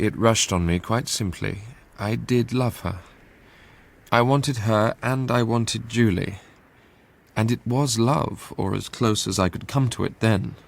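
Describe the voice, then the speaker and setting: A man's voice: on the low side, vocal fry. An actor playing a guarded, self-absorbed, conflicted young lover in a radio drama, hesitant and confessional as he narrates and talks. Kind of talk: radio drama acting with first-person narration.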